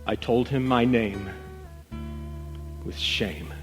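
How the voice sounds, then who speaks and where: A man telling a devotional parable as a dramatic storyteller, theatrical and emotive, with weighty pauses. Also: mid-range voice. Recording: long reverb, music bed behind voice. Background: acoustic guitar music.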